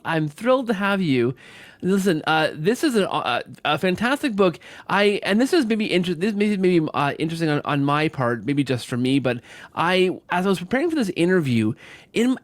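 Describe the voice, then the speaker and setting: A man's voice: high-pitched, dry recording. A man hosting a podcast in an upbeat, conversational style, effusive and rambling as he circles back on his phrases. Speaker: podcast host.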